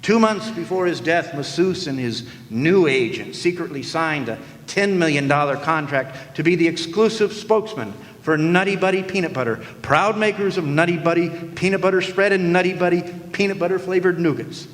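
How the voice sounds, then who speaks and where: A man's voice: on the high side, normal speed, few pauses, lightly acted. A man playing a wisecracking hard-boiled private detective in a radio play, with a theatrical, sardonic delivery. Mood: smug. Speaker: narrator and lead actor in radio play. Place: live radio play in a hall. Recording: live stage, long hall reverb.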